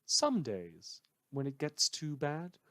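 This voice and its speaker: A man playing a brooding, dramatic host of a post-apocalyptic town radio show, delivering theatrical monologues with heavy pauses. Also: on the high side, huge pitch swings, dry recording, full character voice. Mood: hushed, haunted. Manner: theatrical, dramatic pauses, swinging from hushed to loud. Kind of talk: fictional community radio broadcast monologue.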